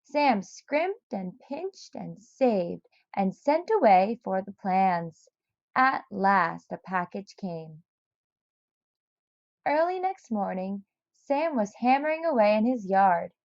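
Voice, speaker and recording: A woman reading a children's story aloud in an expressive narrating style. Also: mid-range voice, slow pace, dry recording, highs cut off. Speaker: storybook reader.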